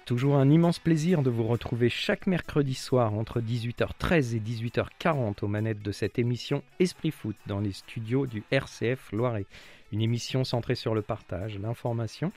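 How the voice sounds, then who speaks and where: A man's voice: medium pitch, darkish tone. A man hosting a weekly radio football show in the studio, greeting guests and asking questions with frequent hesitations.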